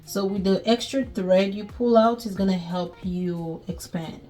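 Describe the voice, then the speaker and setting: A woman's voice: medium pitch, unhurried, warm tone, soft background music. Nigerian woman chatting through a sewing tutorial. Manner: chatty, thinking aloud while working. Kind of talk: casual step-by-step crafting tutorial.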